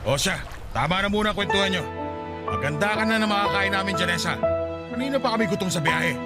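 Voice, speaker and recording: A domineering middle-aged Filipino father in a radio drama, gruff and scolding. His voice sits high for a man and swings widely in pitch. Loud music plays behind him. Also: expressive, fast talker. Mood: bossy. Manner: scolding, bossy, bursts into shouting.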